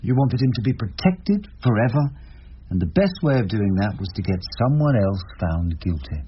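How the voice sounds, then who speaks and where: An actor playing a shrewd, wry older English barrister in a radio drama, speaking deliberately and rhetorically. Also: male voice, fairly low pitch, big pitch swings. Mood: accusing, stern. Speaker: lead character and narrator. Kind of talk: BBC radio drama acting. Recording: dull radio recording with hiss.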